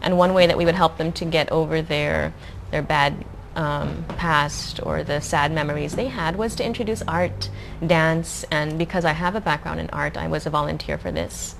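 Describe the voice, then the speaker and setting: A young adult woman from the Philippines, a guest answering interview questions in a calm, measured way. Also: deep voice, creaky, music in the background. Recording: faint background noise.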